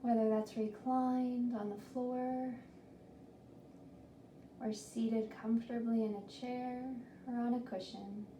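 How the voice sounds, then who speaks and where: A woman leading a guided body scan meditation in a gentle, soothing, deliberate manner with long pauses. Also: fairly high pitch, flat delivery. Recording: roomy, distant mic with faint noise.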